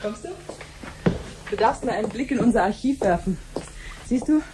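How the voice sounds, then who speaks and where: An actress playing an obliging clinic researcher in a 1970s radio play, explaining things patiently and conversationally. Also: female voice, fairly high pitch, moderate pace, background noise, natural voice. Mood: matter-of-fact, explaining. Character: chatty, obliging female clinic researcher showing her sister around.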